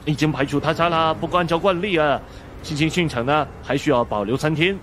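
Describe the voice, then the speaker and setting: A man performs a nervous rich businessman in an audiobook, with a high, flustered voice. He is deferential and beseeching, over faint noisy ambience. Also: a little fast, a bit bright, natural voice. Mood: resigned. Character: anxious, pleading wealthy businessman, deferential. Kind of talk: audiobook character acting.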